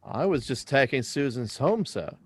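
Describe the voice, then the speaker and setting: A man's voice: mid-range voice, sing-song, normal speed, breathy edge. A man playing a curious, persistent but courteous schoolboy in a live radio drama reading, earnest and questioning. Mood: apologetic.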